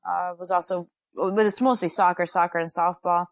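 A woman sports anchor, a guest in a casual interview, who talks fast and animatedly and laughs often. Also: lowish voice, steady tone, dry recording.

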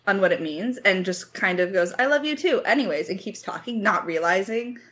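A woman's voice: medium pitch, lively intonation, a little fast, fairly bright tone. A woman chatting casually in a creator Q&A, effusive and playfully dramatic, rambling along in slangy speech. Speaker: lead writer and voice actor of an audio drama.

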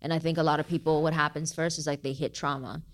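A woman with a low-pitched voice talks as a guest in a casual, reflective conversation. She sounds thoughtful and informal, slipping easily into slang. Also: flat delivery, fast. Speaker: guest author. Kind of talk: casual reflective interview talk.

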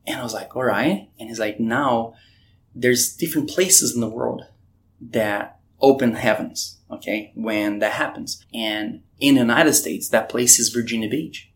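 A man's voice: mid-range voice, unhurried, slight background noise. A man from Brazil with a Brazilian Portuguese accent, telling his missionary testimony in an earnest way and acting out remembered conversations with many restarts. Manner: earnest, retells dialogue with frequent restarts. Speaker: missionary guest. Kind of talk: storytelling testimony.

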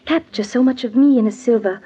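Enraptured young fiancée for old radio melodrama female actor: highish, dark velvety tone, wistful and fervent, muffled archival sound. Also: fairly quick, lightly acted. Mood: eager, wistful. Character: dreamy, enraptured young bride-to-be of a nobleman. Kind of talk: old-time radio drama acting. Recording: muffled old radio recording, faint noise.

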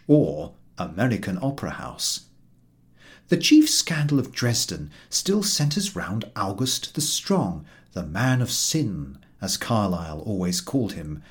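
Guy narrating an old book, voice moves up and down a lot, medium pitch. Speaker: audiobook reader. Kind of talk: scripted literary narration.

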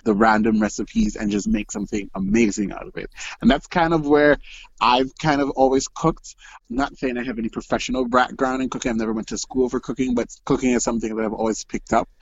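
Guest, man in his twenties, raspy gravelly voice, animated and rambling, muffled lo-fi line. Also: medium pitch. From Montreal, Quebec. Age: 20s. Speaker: podcast guest. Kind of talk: casual chat.

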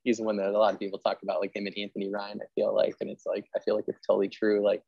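Deep, gravelly man chatting casually. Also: monotone, rapid-fire, dry recording, dull audio. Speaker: podcast host interviewing an author. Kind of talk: casual, rambling interview chat.

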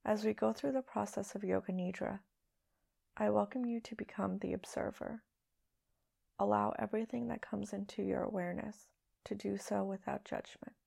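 A woman leading a guided meditation as a podcast host, speaking in a hushed, soothing, unhurried way with long pauses. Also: mid-range voice, creaky.

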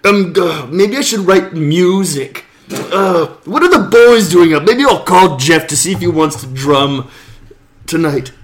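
A man's voice: high voice, animated, a little slow. A man playing a lonely, goofy middle-aged office boss, hesitant and pleading, in improvised comedy roleplay. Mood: idly musing.

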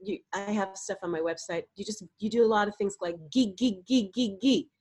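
A middle-aged woman answers as a voice coach on a podcast. Her voice sits in a middle pitch, and she explains things in an animated way. She sometimes breaks off to demonstrate vocal exercises. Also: fairly quick, breathy edge.

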